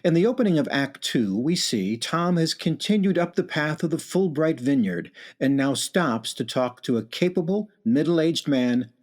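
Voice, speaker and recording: An actor narrating a scripted radio play in a wry, deliberate, theatrical way. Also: male voice, on the high side, expressive. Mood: wry, knowing. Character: wry, measured male storyteller narrator. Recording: clear music bed behind narration.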